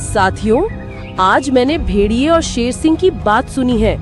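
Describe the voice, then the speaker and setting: A woman's voice: fairly high pitch, expressive, measured pace. A woman voicing a cunning, manipulative female fox in a cartoon, with dramatic delivery. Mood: feigned outrage. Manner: sly, manipulative, theatrically dramatic. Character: cunning scheming female fox plotting to rule jungle. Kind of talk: Hindi cartoon voice acting. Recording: background music behind the voice.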